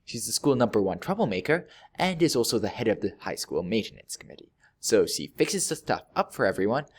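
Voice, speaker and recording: Wry male reviewer with swooping, lively intonation. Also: medium pitch. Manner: wry, deadpan joking with emphatic pauses. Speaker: video reviewer. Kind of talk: scripted review narration.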